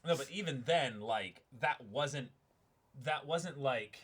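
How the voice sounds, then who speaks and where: Animated, bright-voiced man chatting. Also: medium pitch, slow, husky. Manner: animated, excitable. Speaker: host of a manga dramatic reading stream. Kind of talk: casual chat between acted manga lines.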